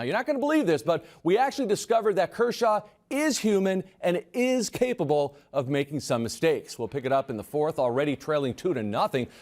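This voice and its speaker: A man anchoring a local TV sports desk, reading highlights in a brisk, punchy manner. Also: a little mellow. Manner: brisk, punchy play-by-play delivery.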